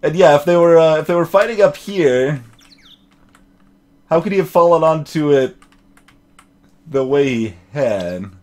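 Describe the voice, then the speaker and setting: A man's voice: a little high, lively intonation, measured pace, pauses often, a little mellow. A man giving casual game commentary and reading dialogue aloud, musing and repeating his phrases as he thinks. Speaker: let's play host.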